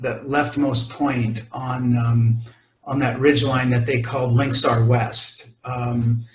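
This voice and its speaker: A man presenting a mountaineering talk over photos, halting and full of fillers and restarts. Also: medium pitch, echoey room, rough recording. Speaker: expedition climber presenting. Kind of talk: presentation to a club audience.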